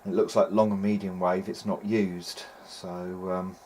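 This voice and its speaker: A man with a lowish voice talks informally through hands-on repair work. He is hesitant and seems to work things out as he speaks. The recording carries noticeable background noise. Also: a little slow. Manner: hesitant, thinking aloud. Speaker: hobbyist radio restorer, presenter. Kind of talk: informal workbench commentary. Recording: clear steady background noise.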